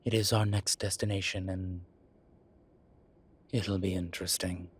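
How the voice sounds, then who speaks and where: A man playing a proud, sardonic incubus demon professor in a roleplay audio drama, with a halting, deliberate delivery. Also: level tone. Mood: guarded, reluctant. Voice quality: low, husky voice. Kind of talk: roleplay audio drama, speaking to an unseen listener.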